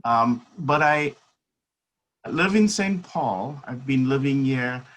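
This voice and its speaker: A man in his fifties speaks very slowly as a guest in a conversation. He weighs each phrase, and his mid-pitched voice fills the pauses with soft fillers as he reflects on his own life. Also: poor audio. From South Africa. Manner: measured and unhurried, with pauses and fillers. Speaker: guest speaker, seminary academic. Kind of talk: reflective personal storytelling in conversation.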